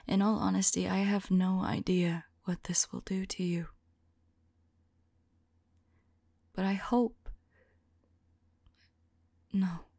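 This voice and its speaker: A woman playing a tender, anxious centuries-old female vampire lover in an intimate, whispered ASMR audio roleplay, speaking softly and haltingly. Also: fairly low pitch, no room sound, heavily acted. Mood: solemn, hesitant. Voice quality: breathy, whispery. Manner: halting, soft, musing to a loved one.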